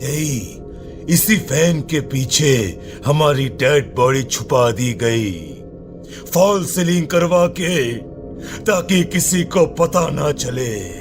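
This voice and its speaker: A man playing a vengeful, menacing male ghost in a horror audio story, drawing his words out with long pauses. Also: fairly high pitch, lively intonation, heavily acted. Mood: bitter vengeance. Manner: slow, drawn-out menacing delivery with long pauses. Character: vengeful male ghost, slow, menacing, wolf-faced, captor of the living. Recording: loud music under the voice. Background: loud music.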